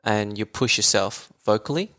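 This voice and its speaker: A man's voice: fairly low pitch, normal speed, fairly bright tone. A man, a voice therapist talking as a guest in a casual podcast conversation, enthusiastic and chatty with frequent laughs.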